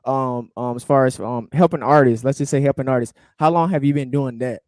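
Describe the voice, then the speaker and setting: A man's voice: mid-range voice, fairly even intonation, fairly quick, darkish tone, no echo, studio quality. A man hosting a casual interview, speaking in a friendly, loose way with lots of fillers. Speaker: interview host.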